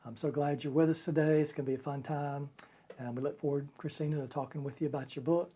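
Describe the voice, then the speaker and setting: A woman's voice: sits low, fairly even intonation, quick pace, warm tone, husky. A woman hosting a podcast, asking a guest friendly, encouraging questions. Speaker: podcast host. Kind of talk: conversational interview.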